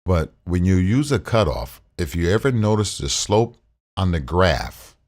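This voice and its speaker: Man, baritone, very low voice, easygoing gear-review delivery, close studio mic. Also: measured pace. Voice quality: deep baritone. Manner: relaxed, repetitive, uses fillers. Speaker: tech reviewer. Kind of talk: casual tutorial with screen share. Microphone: condenser studio mic.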